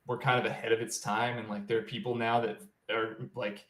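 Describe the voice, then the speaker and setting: A man's voice: mid-range voice, flat delivery, fairly quick, fairly bright tone. A man chatting casually as a co-host on a podcast. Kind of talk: casual chat. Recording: roomy, echoing sound.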